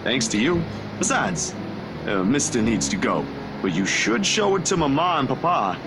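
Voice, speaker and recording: A man voicing a troubled young photographer in a visual novel, hesitant, soft-hearted and awkwardly kind, with music behind him. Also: mid-range voice, sing-song, normal speed, heavy background noise. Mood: warm, reassuring. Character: troubled young male photographer, gentle but anxious with children. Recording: loud music behind the dialogue.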